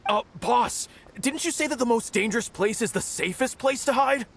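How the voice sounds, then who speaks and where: A timid henchman in an anime game. The voice is creaky and hesitant, full of doubt toward the boss. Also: mid-range voice, moderate pace, a bit bright. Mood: doubtful. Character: timid bandit underling questioning the boss. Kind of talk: English-dubbed anime video game acting.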